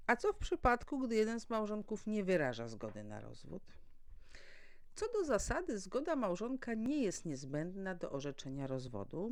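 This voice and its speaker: A woman presenting a formal, prepared legal explainer as an educator, setting out points one by one in a didactic way. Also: medium pitch.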